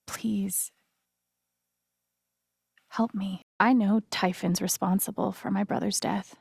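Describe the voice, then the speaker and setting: An actress playing a friendly, wry and earnest young woman in a video game, talking in a casual, gently teasing way. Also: medium pitch, fairly even intonation, slow pace, gravelly, breathy edge, no room sound, clean studio sound, full character voice. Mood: earnest, determined. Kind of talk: naturalistic video game dialogue acting.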